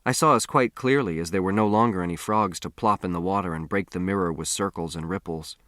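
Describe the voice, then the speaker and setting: A man with a fairly low voice narrates a story in a very dry, close space. He reads scripted first-person prose with expressive storytelling. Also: brisk, no room sound. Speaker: audiobook narrator.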